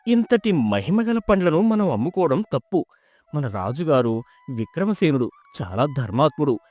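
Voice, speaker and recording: A man voicing a poor, pious villager in a folk tale, earnest, humble and expressive. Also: on the high side, normal speed, no room sound, faint music behind. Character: poor, pious, honest village husband, humble and wonder-struck. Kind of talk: dramatised folk tale storytelling.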